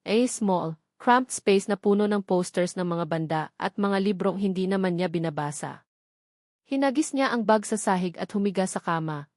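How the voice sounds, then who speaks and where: A woman's voice: mid-range voice, a little mellow, dry recording. Female Filipino narrator for emotional audio drama, mid-range voice, very dry recording. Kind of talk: dramatic scripted story narration in mixed Tagalog and English.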